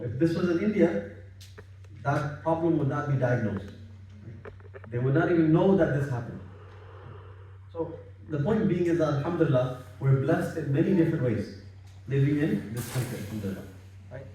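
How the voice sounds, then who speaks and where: A man gives an informal religious talk. His voice is soft-edged and mellow, heard at some distance in a very echoey room. He is conversational, circling back over phrases and checking for agreement. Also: mid-range voice, slight background noise. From Bangladesh. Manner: conversational, repetitive, seeking agreement with tag questions. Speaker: Islamic study circle speaker. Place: gathering in a private house.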